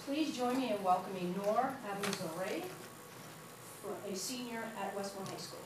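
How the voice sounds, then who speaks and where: A woman's voice: mid-range voice, normal speed. Woman presenter at a board meeting, reads steadily, far off in a reverberant room with background noise. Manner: reads aloud steadily, with small slips and fillers. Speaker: school official presenting an award. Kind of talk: reading a formal introduction at a meeting. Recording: echoey hall, picked up from far off, with noise.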